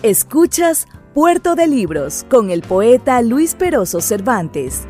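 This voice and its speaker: A woman voices a recorded station promo, her somewhat high voice rising and falling in a lively, sing-song way. She reads slowly, with faint music playing underneath. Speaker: station ID announcer.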